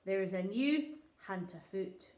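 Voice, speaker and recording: A woman's voice: medium pitch, expressive, measured pace, a little mellow, lightly acted. Vain, impatient storybook king, regal and pompous, voiced by a woman; echoey room. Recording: roomy echo.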